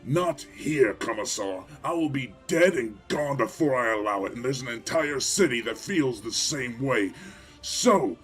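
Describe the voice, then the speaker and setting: A man acts out a hulking farmer-mayor of a sci-fi frontier town. He is proud, plain-spoken and unbending, with emphatic conviction at a middling male pitch. Faint music sits behind the voice. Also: expressive, unhurried, a bit bright. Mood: defiant, resolute. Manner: blunt, emphatic, impassioned. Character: huge, proud, defiant farmer-mayor rallying his people. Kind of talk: audio drama acting. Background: faint background music.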